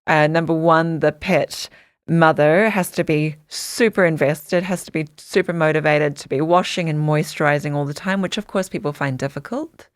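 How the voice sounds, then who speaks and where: A woman from Samoa speaks as an interview guest, with a notably low voice. She tells her stories in earnest detail, often restarting her sentences. The sound is dry and close. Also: dry recording. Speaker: podcast guest, dog skincare founder. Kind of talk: interview storytelling.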